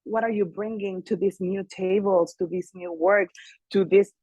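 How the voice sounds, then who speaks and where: A woman from Mexico with a Mexican Spanish accent, speaking English as a second language, chatting in an interview in an animated, earnest way with frequent laughter. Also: medium pitch, normal speed, no room sound, dull audio. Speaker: guest singer and actress. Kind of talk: casual interview chat.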